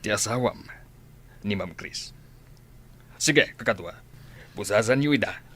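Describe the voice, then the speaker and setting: A man's voice: lowish voice, lively intonation, unhurried, fairly bright tone, a little hiss or hum. A man playing a stern, threatening police officer in a radio drama, with a commanding manner.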